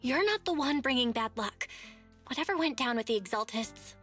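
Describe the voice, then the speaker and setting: A woman's voice: on the high side, expressive, normal speed, a bit bright, faint music behind. An actress playing a supportive, steadfast young woman in a video game, earnest and reassuring. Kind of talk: dramatic video game voice acting.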